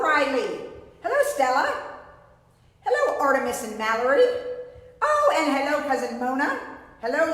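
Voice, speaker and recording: A middle-aged woman presents story time for children in a high, theatrical voice. Her delivery swings widely in pitch, full of exclamations, in a very echoey room. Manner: theatrical, exclaiming, speaks of self in third person. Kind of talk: animated picture-book reading and chat to children. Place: indoor room with painted stage backdrop. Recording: very echoey room with long reverb.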